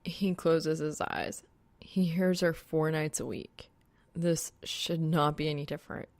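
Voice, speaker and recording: A woman narrates a story in a low voice with noticeable fry. The sound is close and dry, like a homemade audiobook of fan fiction. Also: steady tone, a little slow, a little mellow, dry recording.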